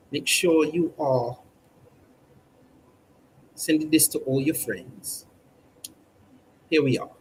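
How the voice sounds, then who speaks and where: A man's voice: a little high, a bit bright, rough recording. Guy hamming it up as a fake newsreader, stiff formal delivery, stretches words out, bit of hum in the background. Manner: formal, stilted newsreader diction with drawn-out words. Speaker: mock news presenter on a livestream. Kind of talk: comedic mock newscast.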